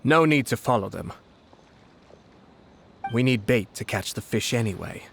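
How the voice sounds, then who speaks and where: An actor playing a shrewd, composed young noble lord in a video game, speaking in a measured, formal and calculating way. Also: male voice, medium pitch, expressive, vocal fry, a bit bright, slight background noise. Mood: resolved.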